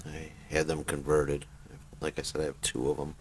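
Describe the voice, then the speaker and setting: A man giving a casual, plain-spoken product demonstration as a private seller, in an unhurried, informal way. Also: rumbling low. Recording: noisy home video, steady background noise.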